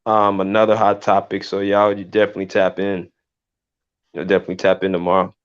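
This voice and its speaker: A man hosting a basketball podcast in casual, relaxed livestream chat. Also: deep voice, fast talker. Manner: relaxed, conversational, drawling "man" and "dude". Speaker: podcast host. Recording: muffled lo-fi livestream audio.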